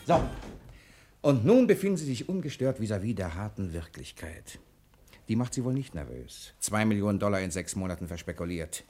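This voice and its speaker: An actor playing a brash, quick-witted bank cashier with a scheme, sardonic and theatrical, in 1960s German radio drama style. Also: male voice, on the low side, a little mellow. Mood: mocking, then scornful, reproachful. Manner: sardonic, theatrical, domineering, with emphatic dramatic pauses.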